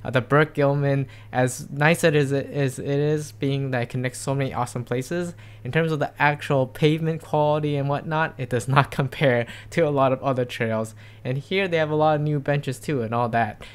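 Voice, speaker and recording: A young man talks his way along a bike trail, rambling and upbeat, full of ums and restarts. His voice is smooth and clear, in a middle pitch, caught outdoors by a camera on his handlebars. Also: steady tone, normal speed, hardly any pauses. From Seattle area. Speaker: cycling vlogger. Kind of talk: casual narration while riding. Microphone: camera mounted on bike handlebars.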